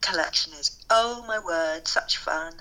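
A soft-spoken, middle-aged woman, a fashion lecturer, chatting enthusiastically with a strained, tired voice. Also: deep voice, unhurried, poor audio. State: voice strained from teaching. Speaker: fashion lecturer and podcast co-host. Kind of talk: casual conversation.

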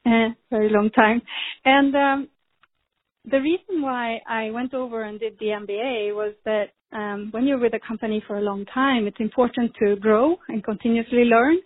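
A woman, speaking as a guest in a podcast interview, measured with frequent ums and restarts. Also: a little high, fairly even intonation. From Sweden. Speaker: pharma executive guest. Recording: tinny phone-like line.

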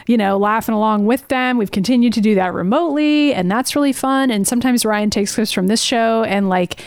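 A woman's voice: on the high side, fairly quick, clean tone, no echo, studio quality. A woman co-hosting a podcast in casual, conversational talk. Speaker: podcast co-host. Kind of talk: casual chat.

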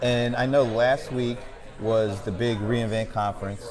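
A man's voice: medium pitch, steady tone, a little slow. A man interviewing a guest at a conference, friendly and a bit hesitant. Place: busy conference floor. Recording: crowd noise behind. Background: crowd chatter.

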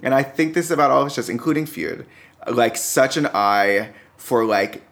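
A man as a podcast guest talking casually about television, halting as he goes and often checking in with "right?". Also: medium pitch, vocal fry, a bit bright. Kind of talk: casual TV review chat.

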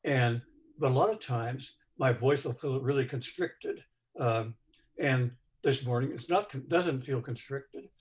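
Hesitant bass-voiced man sharing. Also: unhurried. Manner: hesitant, many fillers. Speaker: group participant sharing. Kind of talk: casual personal sharing.

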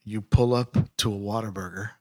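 A man co-hosting a podcast, asking questions in a relaxed, slangy, joking way. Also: on the low side, fairly quick, no room sound. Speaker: podcast co-host. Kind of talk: casual podcast chat.

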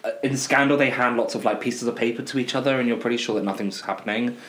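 A man's voice: mid-range voice, fast talker, a bit bright, reverberant. A man guest on a casual podcast chat, talking as a wry and enthusiastic fan.

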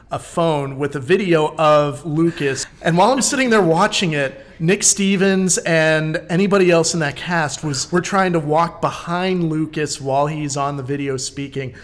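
A man with a slightly high-pitched voice talks in a casual, conversational register. He tells anecdotes in an animated way, with informal, loosely built phrasing. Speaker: podcast guest host, community theater actor.

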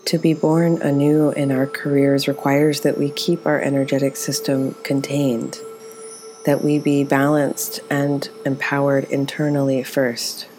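A woman leading a guided meditation as its teacher, speaking in a gentle, soothing, unhurried way. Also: a bass voice, level tone, soft background music.

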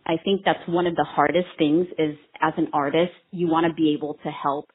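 Low husky woman on a tinny line. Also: little pitch movement, fairly quick. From Vietnam. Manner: warm, earnest, with many ums and restarts. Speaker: microblading artist and trainer. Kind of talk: casual interview answers.

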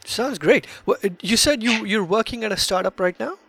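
A man hosting a podcast interview, asking questions in a halting way with many restarts. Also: high-pitched, expressive, vocal fry, a bit bright, slightly rough, dry recording. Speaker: podcast host. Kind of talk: casual interview.

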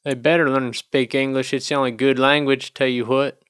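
A man's voice: medium pitch, flat delivery, fairly quick, fairly bright tone, smooth, dry recording, clean studio sound. Crude, joking American guy in casual banter. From United States. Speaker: podcast co-host.

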